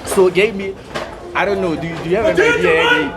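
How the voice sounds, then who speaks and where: A man's voice: high voice, fairly quick, over a noisy crowd. A young South African man with a South African accent, co-hosting a podcast in casual, teasing banter that slips between languages. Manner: playful, teasing, laughs often, mixes languages. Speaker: podcast co-host.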